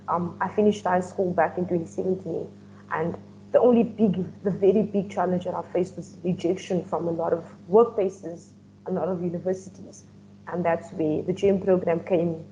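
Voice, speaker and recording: A young woman speaks earnestly on a panel in a mellow, fairly low voice. She pauses and restarts now and then. The audio is dull, with a faint hiss and hum behind her. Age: young adult. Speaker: refugee student panelist. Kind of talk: roundtable discussion.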